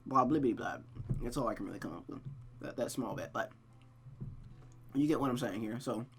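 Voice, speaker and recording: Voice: mid-range voice, expressive, fairly quick, gravelly, slight background noise. A streamer narrating a visual novel aloud, with casual commentary.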